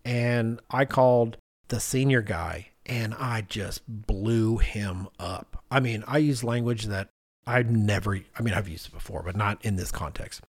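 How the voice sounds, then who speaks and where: A man's voice: fairly low pitch, a little slow, no room sound, clean studio sound. A middle-aged man co-hosting a podcast, telling stories in a casual, conversational way and acting out what people said. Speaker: podcast co-host.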